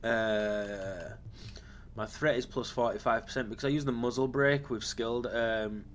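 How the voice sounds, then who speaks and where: Slightly raspy man walking through a game build. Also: medium pitch, measured pace, slight background noise. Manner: relaxed and rambling, with frequent ums and self-corrections. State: slightly raspy from a lingering cough. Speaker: gaming channel host. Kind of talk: casual game build walkthrough.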